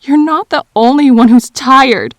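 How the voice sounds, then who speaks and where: A woman acting in an emotional audio drama as a grieving, defensive girlfriend, speaking in halting, wounded phrases with pauses. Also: high-pitched, dry recording. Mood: bitter, sarcastic.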